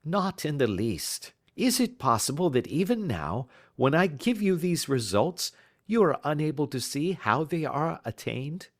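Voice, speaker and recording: Audiobook detective performed by a male reader: self-assured, precise, teasing, pompous, with very animated intonation. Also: on the high side, unhurried, pitched above the performer's own voice. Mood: triumphant, explaining. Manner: crisp, lecturing, pompous. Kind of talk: audiobook character dialogue.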